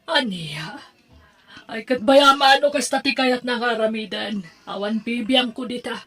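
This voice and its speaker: Stressed, dismissive mother for audio drama, female actor: high voice, impatient, curt and irritable delivery. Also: a little slow, bright, forceful tone, heavily acted. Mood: irritated, stressed. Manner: curt, exasperated. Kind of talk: Ilocano audio drama acting.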